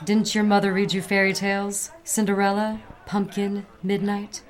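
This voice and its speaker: A woman's voice: mid-range voice, completely flat delivery, moderate pace, lightly acted. An actress playing an unflappable, streetwise woman sleuth in a noir audio drama, sassy and teasing. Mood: sassy, knowing. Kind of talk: noir radio drama acting.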